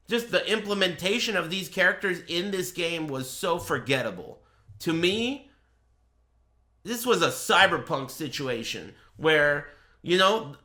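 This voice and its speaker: A man's voice: a little high, sharp tone. A man talking casually as a game streamer, giving his impressions in a blunt, dryly sarcastic and profane way.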